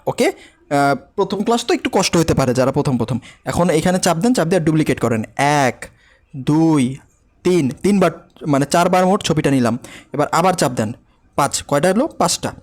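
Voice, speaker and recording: A man with a Bangladeshi Bengali accent giving an informal step-by-step software lesson, repeating words and often asking check questions. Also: a little high, animated, moderate pace. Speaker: animation teacher.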